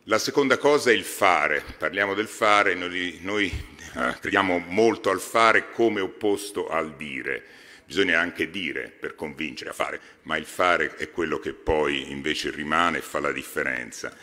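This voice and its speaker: Very deep-voiced man speaking in a hall. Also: bright, forceful tone. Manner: halting, frequent hesitations. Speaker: foundation president. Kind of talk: formal speech at a podium. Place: large exhibition hall stage. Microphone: podium microphone. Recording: reverberant hall.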